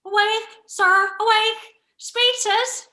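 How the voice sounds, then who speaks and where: A woman's voice: very high voice, steady tone, takes it slowly, bright, forceful tone, heavily acted. A woman playing an alert soldier on night watch in a Shakespearean radio play, recorded with room echo. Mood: urgent. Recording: roomy, echoey sound.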